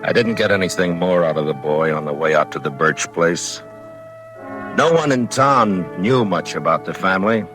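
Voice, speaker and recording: An actor playing a steady, laconic US Marshal in an old-time radio western, calm and plainspoken with an American accent. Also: male voice, low voice, normal speed. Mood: somber, reflective. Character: steady, laconic middle-aged male frontier US Marshal. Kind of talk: old-time radio western acting and first-person narration. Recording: vintage radio recording, music behind.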